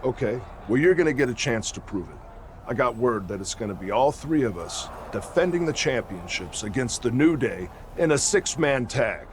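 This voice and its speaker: A man's voice: mid-range voice, animated, normal speed, a little hiss or hum, close to an everyday voice. A man playing a stern, sarcastic wrestling team leader in a video game cutscene, scolding and commanding. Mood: businesslike.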